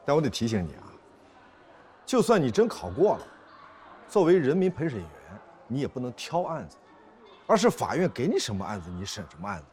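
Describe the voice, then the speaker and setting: An actor playing a harried court officer in a screen drama, placating and evasive, with dry exasperation and repeated words. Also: male voice, mid-range voice, wildly swooping pitch, a little fast, long pauses, a little mellow. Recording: noticeable background noise and ambience.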